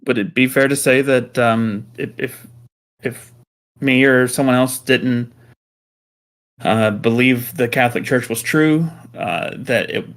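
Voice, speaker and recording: A man interviewing a caller, calmly asking clarifying questions. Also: mid-range voice, a little slow. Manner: calm, probing, asks clarifying questions. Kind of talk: Socratic questioning.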